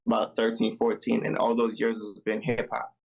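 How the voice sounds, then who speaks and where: Young Jamaican-born male musician guest, gravelly, relaxed and reflective, on a video call. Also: lowish voice, normal speed, soft background music, rough recording. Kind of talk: casual interview over video call.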